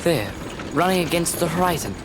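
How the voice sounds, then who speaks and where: A man's voice: fairly high pitch, expressive, fairly quick, close to an everyday voice. A male actor playing a lord's young son, uneasy and questioning, in a gothic audio drama. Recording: clear vehicle noise behind voice.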